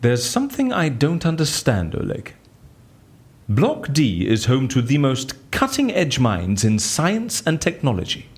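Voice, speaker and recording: A man's voice: mid-range voice, wildly swooping pitch, a little slow. An actor playing a cocky, inquisitive male professional in an audio drama, theatrical and probing in his questions.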